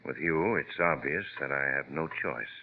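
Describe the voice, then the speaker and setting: A man's voice: extremely low pitch, moderate pace. An actor playing a glib, scheming male blackmailer, in 1940s radio drama style. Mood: cold menace. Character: smooth-talking, cold, scheming male blackmailer villain. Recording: muffled, noisy old radio recording.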